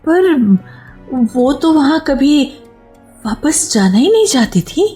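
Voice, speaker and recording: High-voiced, puzzled innocent woman. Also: very animated, slow pace, warm tone, exaggerated voice. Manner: hesitant, questioning. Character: innocent, puzzled young wife asking questions. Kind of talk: Hindi radio play acting. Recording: faint music under a radio play.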